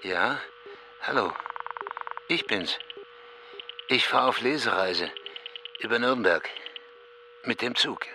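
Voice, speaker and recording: An actor playing a brooding East German writer, terse and awkward, speaking in halting, clipped phrases in a literary audio drama. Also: male voice, medium pitch, lively intonation, noisy background.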